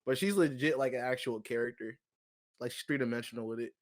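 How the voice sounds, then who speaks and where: A man with a medium-pitched voice talks as a podcast co-host. His delivery is casual and slangy, like an animated chat about films among friends. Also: fast. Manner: slangy, conversational, animated opinions. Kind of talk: casual movie discussion chat.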